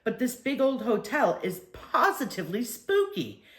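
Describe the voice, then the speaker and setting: An anxious storybook mother, as acted by a woman reader, critical and quick to protest. Her pitch moves in lively swings, and the room rings a little around her. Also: echoey room. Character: anxious, complaining mother on a road trip.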